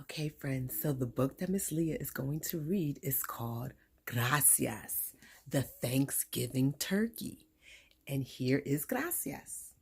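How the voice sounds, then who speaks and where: A woman reading a children's story aloud as a storytime teacher, expressive and deliberate. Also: extremely low pitch, gravelly, slightly rough.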